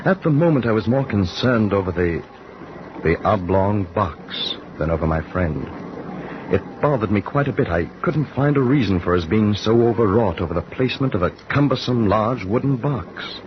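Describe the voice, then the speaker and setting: An actor in 1940s radio drama playing a curious, polite gentleman who narrates his voyage in a measured, formal way. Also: on the low side, lightly acted. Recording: muffled old radio recording with hiss.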